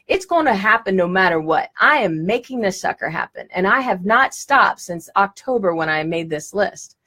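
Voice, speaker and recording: A woman's voice: mid-range voice, expressive, normal speed, sharp tone. A woman teaching a live-streamed lesson, talking in a direct, enthusiastic way with bursts of laughter, on a phone or webcam mic. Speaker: law of attraction teacher.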